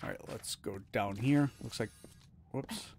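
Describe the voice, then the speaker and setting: A man's voice: medium pitch, a little slow, vocal fry, a little mellow, slight background noise. A man streaming a game, casually talking to himself as he explores and fights. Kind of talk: live gameplay commentary. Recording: game music behind voice.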